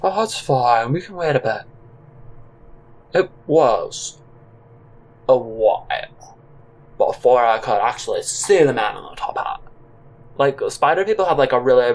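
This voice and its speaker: A man's voice: mid-range voice, rough recording. A man narrating a comic audio drama in the first person, with a rambling, awkward, conversational manner.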